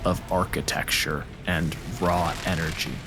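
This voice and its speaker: A man acting as game master, narrating an improvised tabletop story with dramatic pauses and dry humor. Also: deep voice, steady tone, over music.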